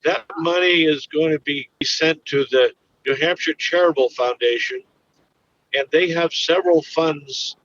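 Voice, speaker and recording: A plain-spoken man answering interview questions in a calm way. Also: on the high side, a little slow, recorded from a distance, muffled recording. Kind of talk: recorded interview.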